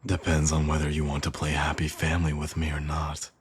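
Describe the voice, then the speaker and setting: A man's voice: completely flat delivery, brisk, a little hiss or hum. A man playing a smug, seductive villain and doting father in an intimate ASMR roleplay, murmuring in a teasing, sly way. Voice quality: husky, very deep, breathy at times.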